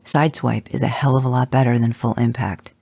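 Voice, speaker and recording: A reader giving voice to a gruff male hunter in an audio story, barking curt orders with a dry, sarcastic edge. The voice is dark and gravelly, with a husky grain. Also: mid-range voice, fairly even intonation, fairly quick, no echo. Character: Dean, gruff male monster hunter, commanding.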